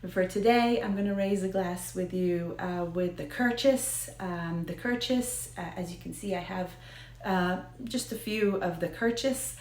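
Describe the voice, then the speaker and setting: A woman with an American Israeli accent hosting a video, telling a story in a casual, educational way with halting, emphatic repetition. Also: mid-range voice, a little mellow. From Israel and the United States. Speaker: video host. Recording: roomy, echoing indoor sound.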